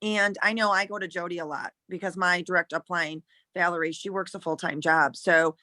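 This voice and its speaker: A hoarse woman with a lowish voice, chatting at length as a new consultant on a video call. She rambles and restarts her sentences often, and the sound is close and dry. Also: steady tone, brisk, pushed and bright, no echo.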